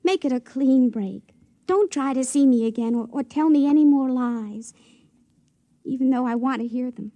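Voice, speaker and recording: An actress playing a tender, trusting young woman in a 1950s radio drama, speaking softly and hesitantly. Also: high-pitched, moderate pace, no echo. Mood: hurt, subdued. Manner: hesitant, earnest.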